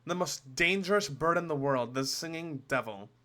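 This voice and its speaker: A man's voice: fairly high pitch, lively intonation, moderate pace, pushed and bright, a little hiss or hum. A young man giving casual reaction commentary as a streamer, joking, swearing and musing aloud.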